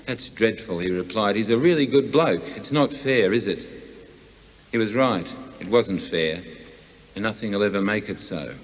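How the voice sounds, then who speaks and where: An Australian man gives a prepared memorial tribute. His voice sits fairly low, and it rings with the long reverb of a large hall. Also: normal speed. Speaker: eulogist, colleague and friend. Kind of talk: scripted eulogy at a memorial.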